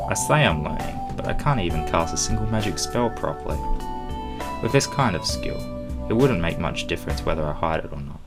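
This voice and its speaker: Low-voiced man reading game text over music. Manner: jokey asides, stumbles over words while reading. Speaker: gaming channel host. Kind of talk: playthrough commentary, reading visual novel text aloud. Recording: music playing behind the voice. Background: visual novel game music.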